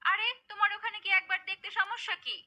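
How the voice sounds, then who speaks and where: A woman playing a curious, credulous cartoon mother, coaxing and animated. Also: very high-pitched, fast talker, faint music behind, exaggerated voice.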